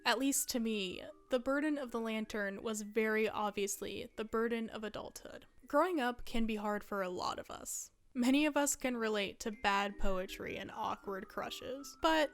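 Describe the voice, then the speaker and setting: A woman's voice: fairly high pitch, normal speed, few pauses. A woman in her twenties narrating a video essay in a casual, conversational way with wry asides.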